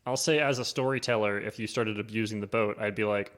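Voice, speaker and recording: A man's voice: on the low side, steady tone, quick pace, fairly bright tone. A man co-hosting an informal tabletop roleplaying podcast, talking bluntly with dry humour. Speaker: podcast co-host. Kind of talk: casual chat about tabletop roleplaying.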